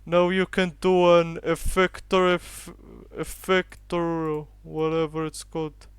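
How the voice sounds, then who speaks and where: A young man giving a calm, matter-of-fact step-by-step tutorial voiceover. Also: fairly high pitch, clean tone, no room sound. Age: young adult. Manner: flat, unhurried, matter-of-fact instructions. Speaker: tutorial youtuber.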